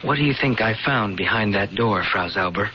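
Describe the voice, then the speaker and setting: A man's voice: on the low side, moderate pace, slight background noise, natural voice. An actor playing a shaken, insistent husband who narrates his own horror tale in an old radio mystery. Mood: accusing, insistent. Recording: dull old radio broadcast, music, vehicle drone.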